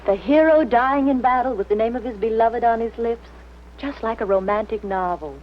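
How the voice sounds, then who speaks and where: An actress playing a woman friend who soothes and gently teases her companion. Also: on the high side, moderate pace, slight background noise, lightly acted. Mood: teasing. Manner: soothing, teasing. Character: teasing, motherly woman friend. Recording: dull old film sound.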